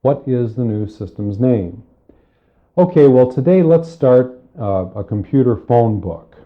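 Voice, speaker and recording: A man giving a methodical, step-by-step spoken computer lesson, explaining with pauses, recorded on cassette tape. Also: medium pitch, expressive, phone-quality sound. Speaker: software tutorial instructor.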